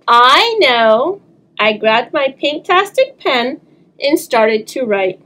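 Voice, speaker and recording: Slow, high-voiced woman reading a children's story. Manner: animated, talks to young listeners, draws words out. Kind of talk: expressive children's story time read-aloud. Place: small indoor room.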